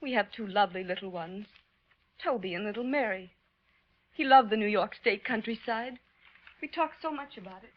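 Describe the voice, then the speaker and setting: An American actress playing a grieving, genteel widow who rambles politely and plaintively in a 1940s radio drama. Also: female voice, medium pitch, moderate pace, natural voice. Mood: tearful, wistful. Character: grieving, genteel widow, a mother prattling sentimentally. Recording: muffled old radio recording.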